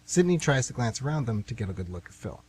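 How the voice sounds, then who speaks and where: A man's voice: medium pitch, sing-song, fast, a little mellow, slight background noise. A man narrating and announcing a scripted radio theatre play.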